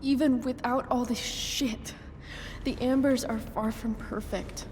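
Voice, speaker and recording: A young woman playing an earnest, grieving teenage girl, blunt, halting and sincere, in a dramatic video game cutscene. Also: a little high, steady tone, a little mellow, long reverb tail, a little hiss or hum, close to an everyday voice. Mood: earnest pleading. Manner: halting, sincere, sometimes profane.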